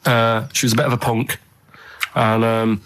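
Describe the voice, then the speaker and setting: A man's voice: medium pitch, level tone, normal speed, fairly bright tone. A man chatting casually on a radio show, telling rambling anecdotes in an earnest, matter-of-fact way. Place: radio studio.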